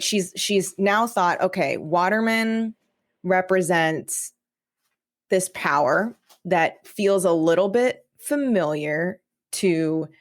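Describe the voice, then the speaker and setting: A woman with a somewhat low voice talks as a guest on a podcast. Her delivery is informal and tentative, in the manner of casual conversation. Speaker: podcast guest, audio drama writer.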